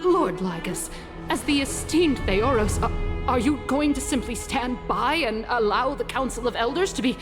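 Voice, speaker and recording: A woman's voice: fairly high pitch, animated, moderate pace, over music, natural voice. An actress playing a proud, indignant female council elder, sputtering with outrage in a game cutscene. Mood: defensive.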